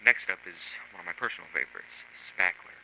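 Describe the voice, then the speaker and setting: A man acts as a male researcher in an analog horror guide. The voice is deep and creaky, dry and casual as it explains. The recording has obvious background noise. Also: lively intonation, fairly quick, sharp tone, exaggerated voice. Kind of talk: scripted instructional narration for amateur analog horror. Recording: clearly noisy amateur recording.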